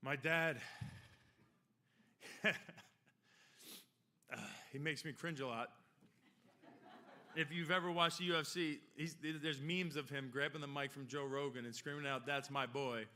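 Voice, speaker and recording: A man's voice: fairly high pitch, steady tone, unhurried, pauses often, sharp tone, slight background noise. A man of about forty, giving a rambling, joking and heartfelt acceptance speech at a podium microphone in a ceremony hall. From Long Island, New York. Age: 40s. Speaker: hall of fame inductee.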